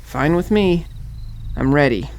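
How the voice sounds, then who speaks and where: A man's voice: a little high, expressive, a little slow, creaky, a little mellow, a little hiss or hum, pitched above the performer's own voice. A man voicing a timid, panicky young male fraternity pledge in an audio horror drama, sounding strained and anxious. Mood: nervous agreement.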